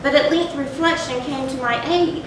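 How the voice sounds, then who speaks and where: A woman playing a guilt-tormented narrator of a gothic horror tale in a deliberate, theatrical stage recitation. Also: natural voice. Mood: awed, uneasy. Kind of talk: dramatic readers-theatre recitation of a gothic story. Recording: very echoey stage, distant mic, noisy rumble.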